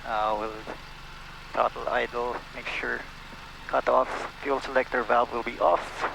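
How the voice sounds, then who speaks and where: A man's voice: mid-range voice, little pitch movement, unhurried, gravelly. A Filipino-accented man flying a small plane, calling out checklist items and briefings in clipped, procedural phrases. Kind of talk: cockpit checklist callouts and takeoff briefing. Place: inside a small propeller plane cockpit. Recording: noisy small-plane cockpit, engine drone. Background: engine and vehicle noise.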